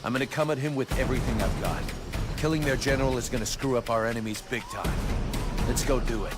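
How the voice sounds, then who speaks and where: A man's voice: mid-range voice, fast talker, loud music behind the voice, close to an everyday voice. Cocky mercenary hero, played by a man. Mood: confident, determined. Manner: blunt, swaggering, colloquial. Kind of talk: video game voice acting.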